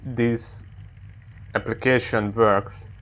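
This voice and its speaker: A man with a Hungarian accent who hosts a casual racing game demo and calls out loud, clipped commands to a voice app. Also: medium pitch, steady tone, very slow, lo-fi sound. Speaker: sim racing video host.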